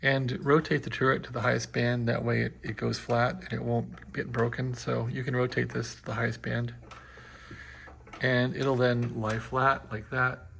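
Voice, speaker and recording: A man's voice: mid-range voice, flat delivery, normal speed, vocal fry, fairly bright tone, slight background noise. A man giving a casual hands-on demo of restored equipment, chatty and explaining as he works at an indoor workbench. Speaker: equipment restorer demonstrating a receiver.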